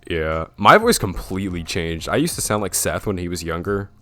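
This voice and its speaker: Young male podcast host. Very low, deep radio-style voice, loose and rambling. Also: moderate pace, soft background music. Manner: relaxed and rambling, with frequent fillers. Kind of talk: casual chat.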